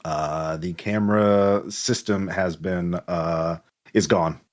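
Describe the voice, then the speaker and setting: A man narrating an improvised tabletop game as game master, halting and pausing mid-sentence. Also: low-pitched, fairly even intonation.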